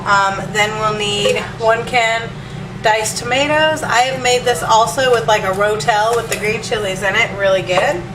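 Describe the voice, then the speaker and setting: A woman talks through her recipe in a relaxed, chatty way, her nose stuffed up from allergies and her voice sitting a bit high. She is picked up from a distance in an echoey kitchen with audible hiss behind her. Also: moderate pace. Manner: chatty, relaxed, lots of ums and asides. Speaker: home cook showing a recipe. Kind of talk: casual cooking demo. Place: home kitchen.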